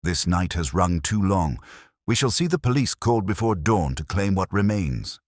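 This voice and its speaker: A man voicing a shrewd, commanding Victorian detective in a story, calm, grave and deliberate. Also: low-pitched, no room sound, studio quality, voice pushed up. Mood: grave, certain. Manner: terse, deliberate, authoritative.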